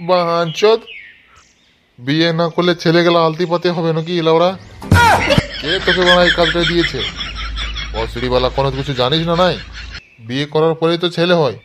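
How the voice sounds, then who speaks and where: A man's voice: a little high, steady tone, a little fast, pauses often, heavy background noise, close to an everyday voice. A man voicing a short-tempered, foul-mouthed village uncle in a comedy cartoon, brusque and scolding, with a rural West Bengal Bengali accent. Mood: irritated, scolding. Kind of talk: amateur comedy cartoon dialogue.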